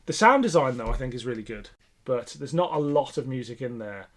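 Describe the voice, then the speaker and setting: A man with a medium-pitched voice talks as a podcast co-host. His style is casual and conversational, with informal, opinionated phrasing. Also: lively intonation, a little fast, a crowd in the background. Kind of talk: casual chat reviewing a radio play.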